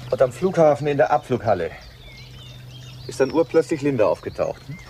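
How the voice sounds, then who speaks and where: An actor playing a dogged, impatient police detective in a German crime radio drama, pressing with clipped questions. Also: male voice, mid-range voice, very animated, a little fast, dark tone. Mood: probing. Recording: radio drama with background noise.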